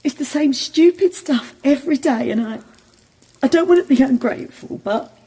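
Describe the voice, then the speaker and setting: An actress playing a downhearted but plucky jobseeker in a radio play, with a husky, gravelly voice. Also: female voice, sits high, animated, moderate pace, warm tone. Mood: weary, upset. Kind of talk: naturalistic radio play acting.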